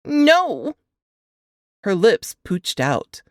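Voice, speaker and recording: A woman reading scripted fiction aloud in an expressive audiobook narration style. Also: sits low, a little slow, no room sound, studio quality.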